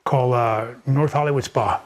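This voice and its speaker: Halting older man telling his story. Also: mid-range voice, fairly even intonation. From Miami, United States. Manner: halting, many repeated words and fillers. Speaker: interview guest. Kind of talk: casual storytelling. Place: library room of his home.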